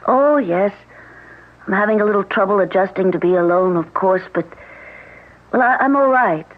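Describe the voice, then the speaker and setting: An actress playing a recently widowed woman, hesitant and soft-spoken, in a 1970s radio drama. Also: medium pitch, normal speed, lightly acted. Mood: anxious. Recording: narrow-band old radio broadcast.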